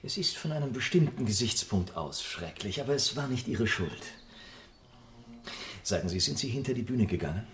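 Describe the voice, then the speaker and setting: Suave, cynical gentleman in radio play; low register, polished irony, slight background noise. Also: animated, normal speed. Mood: probing sympathy. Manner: polished, ironic, aphoristic. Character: urbane, cynical English gentleman, suave and unruffled. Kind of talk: literary radio drama acting.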